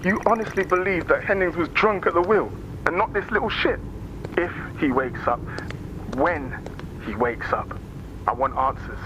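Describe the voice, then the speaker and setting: A man's voice: fairly high pitch, expressive, moderate pace, close to an everyday voice. An actor playing an angry, accusing policeman in a video game, speaking bluntly and bitterly on a muffled, noisy recording. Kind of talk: video game dramatic acting.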